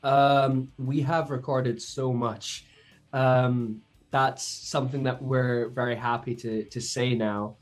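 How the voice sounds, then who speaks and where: A man in a band chatting casually in an interview, relaxed and hesitant, often laughing as he tells stories. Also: mid-range voice, slow, faint music behind. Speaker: band member, songwriter.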